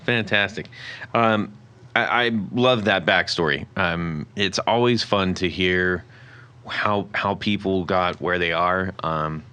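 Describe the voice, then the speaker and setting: A man hosting a podcast interview, asking halting questions with fillers and friendly reactions. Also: sits low, sharp tone, clean tone. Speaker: podcast host. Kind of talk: casual interview chat.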